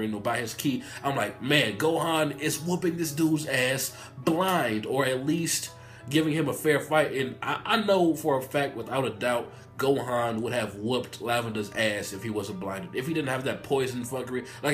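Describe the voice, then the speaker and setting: Sick, nasal man ranting excitedly. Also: mid-range voice. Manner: hyped, profane, rambling. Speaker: anime reviewer. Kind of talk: excited casual review vlog.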